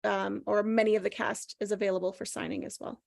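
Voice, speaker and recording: A woman's voice: mid-range voice, little pitch movement, brisk, vocal fry, darkish tone, no echo, studio quality. A woman taking part in a table read, chatting casually and reading scripted action descriptions. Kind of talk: casual chat and scripted narration.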